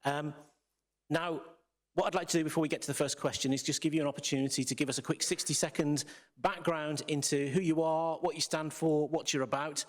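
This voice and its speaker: A man hosting a business hustings debate in a theatre, brisk and organised as he introduces the panel and explains the format. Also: fairly high pitch, steady tone. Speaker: debate host. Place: theatre with audience.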